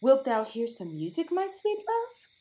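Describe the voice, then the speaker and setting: Woman playing a lovestruck fairy queen, slow and cooing, really swoopy melodic verse, audio play. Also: high voice, huge pitch swings, mellow, exaggerated voice. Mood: doting. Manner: tender, lyrical, cooing. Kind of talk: Shakespearean verse audio drama.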